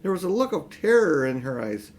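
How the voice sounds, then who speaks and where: An older man reads a children's story aloud. His voice sits a little high for a man and moves freely up and down, leaning on key words in an expressive storyteller's way. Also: fairly quick. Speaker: audiobook reader.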